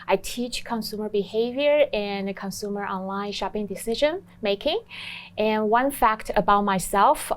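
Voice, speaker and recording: A middle-aged woman speaking on a panel as a professor. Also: medium pitch. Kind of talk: self-introduction on a panel. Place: TV studio.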